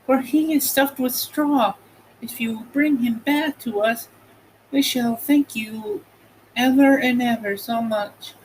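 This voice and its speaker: A man's voice: very high voice, takes it slowly, a little hiss or hum, voice pushed up, low-quality recording. A man voicing an earnest, polite young girl in a storybook reading. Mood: eager.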